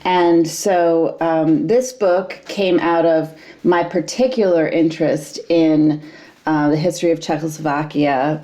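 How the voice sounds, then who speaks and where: Low-voiced woman historian, measured. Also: steady tone, warm tone. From United States. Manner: measured and thoughtful, frequent ums. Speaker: historian guest. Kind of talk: interview answers.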